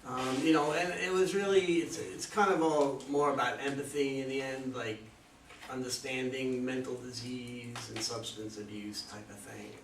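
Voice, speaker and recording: A man's voice: mid-range voice, steady tone, moderate pace. Hesitant man in a roomy, distant recording. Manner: hesitant, self-deprecating. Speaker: playwright. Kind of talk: informal question-and-answer after a play reading. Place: room at a live play reading. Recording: roomy distant mic, faint noise.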